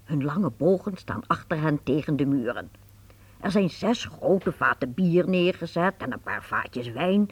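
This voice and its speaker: A narrator telling a radio play story in a lively, descriptive manner. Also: medium pitch, a little slow, husky, no room sound.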